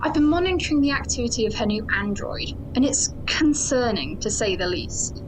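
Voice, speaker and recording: Sci-fi audio drama: female security chief, actress, higher register, rigid, suspicious, formal, with clear ambient noise behind. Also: expressive, moderate pace, natural voice. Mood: insistent, suspicious. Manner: clipped, formal, officious. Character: suspicious, hard-line female security chief, military officer.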